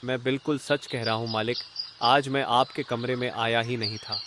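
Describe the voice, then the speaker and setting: A man's voice: mid-range voice, steady tone, moderate pace, lightly acted. A man voicing an anxious, deferential household cook in a cartoon, pleading earnestly, over a noisy recording. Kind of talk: Hindi cartoon story voice acting.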